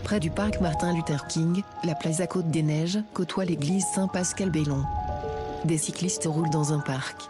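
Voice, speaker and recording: A woman reading a scripted audio description in a steady, even way. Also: low-pitched, moderate pace, music in the background. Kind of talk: scripted narration.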